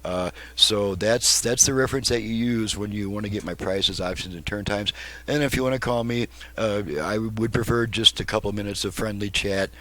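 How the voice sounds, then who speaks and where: Low-voiced folksy man demonstrating a repair. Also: moderate pace, bright, forceful tone. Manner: folksy, informal, rambling. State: a bit fatigued. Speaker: car radio repairman presenting his work. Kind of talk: casual product demonstration.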